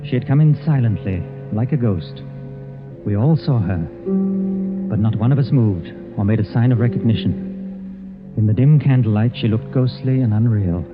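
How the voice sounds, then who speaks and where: An actor playing a dinner guest who narrates a suspense tale in tense, dramatic 1940s radio style. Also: male voice, fairly low pitch, normal speed, over loud music, close to an everyday voice. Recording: dull old radio recording.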